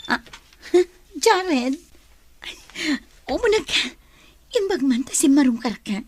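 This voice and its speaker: A woman's voice: high-pitched, big pitch swings, slow pace, exaggerated voice. A woman acting a scheming, envious and evasive young woman in an Ilocano radio drama. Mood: flustered.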